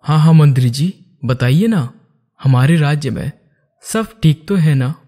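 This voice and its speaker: A man's voice: fairly high pitch, expressive, unhurried, warm tone. A man playing an ageing, courteous and anxious king in a cartoon moral story, speaking formally. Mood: courteous, composed. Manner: formal, stately storybook delivery. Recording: music under the voice.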